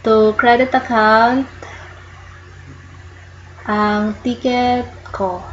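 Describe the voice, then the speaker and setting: A woman with a Filipino accent walking viewers through an online process, explaining slowly and patiently while mixing English into Tagalog. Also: on the high side, level tone, dull audio, a little hiss or hum. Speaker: tutorial host. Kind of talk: casual screen-recorded walkthrough.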